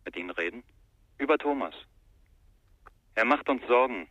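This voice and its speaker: An actor playing an insinuating, menacing stranger on the telephone in a radio crime drama, cool and controlled. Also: male voice, medium pitch, animated, moderate pace, dry recording, natural voice. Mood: coolly menacing. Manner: cool, controlled, veiled threat. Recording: thin, band-limited telephone-like sound.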